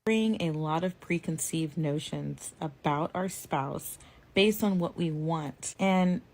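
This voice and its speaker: A woman's voice: sits low, slow pace, darkish tone, rough recording. An American woman in her thirties explaining relationship advice from personal experience directly to viewers.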